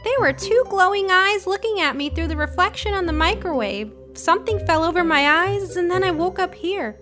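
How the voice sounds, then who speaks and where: Woman voicing a high-pitched, chatty, gentle mom. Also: lively intonation, moderate pace, soft background music. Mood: puzzled. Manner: chatty, motherly. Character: gentle, chatty, unsuspecting mother waking confused.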